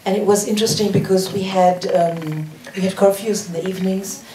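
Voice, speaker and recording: Mellow woman speaking from the audience. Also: medium pitch, steady tone, brisk, slight background noise. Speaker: audience member. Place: cinema auditorium. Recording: echoey hall from a distant mic.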